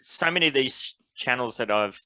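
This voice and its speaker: A man hosting a podcast, talking in a relaxed, conversational way. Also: medium pitch, moderate pace, dry recording, poor audio. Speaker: podcast host. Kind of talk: casual podcast chat.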